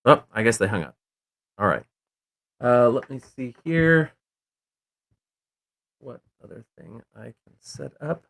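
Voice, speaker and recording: A man's voice: mid-range voice, animated, takes it slowly, creaky, pauses often, fairly bright tone. A middle-aged man hosting a casual call-in show, rambling with many fillers and restarts.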